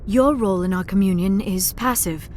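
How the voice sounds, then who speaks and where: A woman playing a gentle, earnest young alien scientist in a video game, speaking formally and precisely. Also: mid-range voice, normal speed, slight background noise, lightly acted. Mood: earnest, weary. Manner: formal, precise speech without contractions. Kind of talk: video game dialogue acting.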